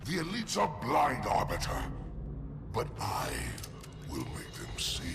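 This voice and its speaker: An actor playing a bitter, fervent alien heretic leader in a video game cutscene, with an impassioned theatrical delivery. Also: male voice, mid-range voice, wildly swooping pitch, a little slow, gravelly, exaggerated voice. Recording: prominent music over the voice.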